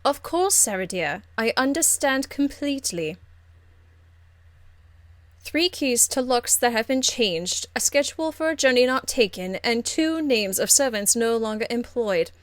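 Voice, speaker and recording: A woman voicing a haughty, aristocratic lady mage from a fantasy game, icily polite, measured and condescending. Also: a little high, lively intonation, no room sound. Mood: poised menace. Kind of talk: video game character acting.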